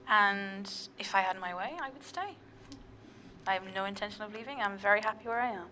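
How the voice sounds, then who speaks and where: An Irish teacher in her thirties speaking in a measured, polite way, in a small TV studio. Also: medium pitch, sharp tone, a little hiss or hum. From Ireland. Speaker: teacher leading an exchange trip.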